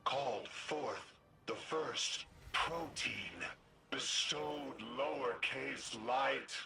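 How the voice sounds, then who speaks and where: An actor playing an ancient cosmic male entity, breathy and ceremonial in his pronouncements. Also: medium pitch, very slow, very noisy. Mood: solemn. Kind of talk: video game voice acting.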